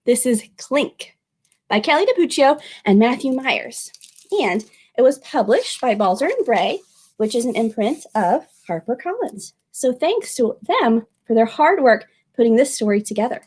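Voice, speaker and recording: A woman speaking as a children's librarian at storytime, expressive and addressing young listeners directly. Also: fairly high pitch, normal speed, slightly rough. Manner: animated, expressive, with exclamations and questions to young listeners. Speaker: children's librarian reading aloud. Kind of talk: storytime picture-book reading and friendly address to children.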